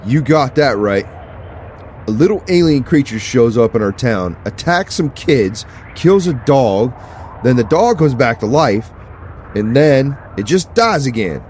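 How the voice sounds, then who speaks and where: Man acting as impatient deputy, blunt, sardonic, lively pitch swings, audio drama, faint vehicle noise. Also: measured pace, natural voice. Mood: sardonic, dismissive. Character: blunt, impatient, dismissive male sheriff's deputy.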